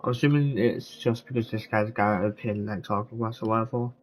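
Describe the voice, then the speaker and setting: A man's voice: lowish voice, fairly even intonation, fairly quick, poor audio. A man giving casual gameplay commentary, rambling and thinking aloud as he plays. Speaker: gaming streamer. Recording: loud game music behind. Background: video game music.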